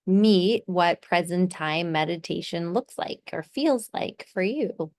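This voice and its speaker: A woman leading a guided meditation in a soothing, gently instructive way, pausing between short phrases. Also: lowish voice, animated.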